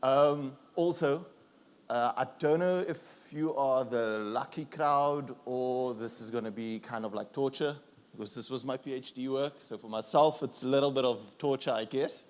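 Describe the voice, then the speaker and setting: A man with a South African accent, raised speaking Afrikaans, presents at a conference. His voice sits at an ordinary middle pitch. He talks in an easygoing, self-deprecating way, pausing on fillers and restarting phrases.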